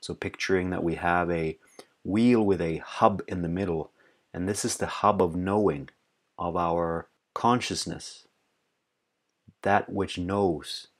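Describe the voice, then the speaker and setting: Very deep-voiced man guiding meditation. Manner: calm, unhurried, pausing between short phrases. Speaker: meditation teacher. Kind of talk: guided meditation, slow and soothing instruction.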